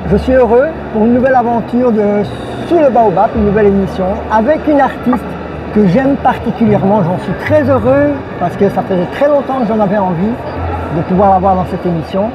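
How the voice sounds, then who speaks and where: Male host, casual on-location interview, chatty, noise clearly heard behind him. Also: sits high, a little fast, lo-fi sound. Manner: warm, chatty, prompts and finishes guest's sentences. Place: outdoors in an urban site. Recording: noisy outdoor recording.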